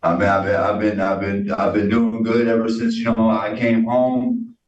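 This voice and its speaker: A man, a defendant answering a judge in a hesitant, halting way full of restarts. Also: on the low side, level tone. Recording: roomy, distant and dull.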